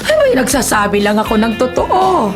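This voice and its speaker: Fond older nanny, very animated, gushing praise, radio drama, music bed. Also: female voice, sits high, moderate pace. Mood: admiring, fond. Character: doting, cheerful older nanny full of praise. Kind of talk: Filipino radio drama acting. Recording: music behind the voice.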